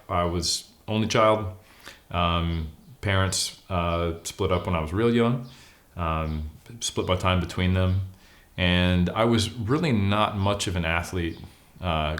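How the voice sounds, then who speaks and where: A man's voice: deep voice, a bit bright. A man of forty with an American accent, talking casually and candidly about himself as an interview guest, with a self-deprecating manner. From California, United States. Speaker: interview guest, bodybuilder and academic. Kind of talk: casual interview, personal storytelling.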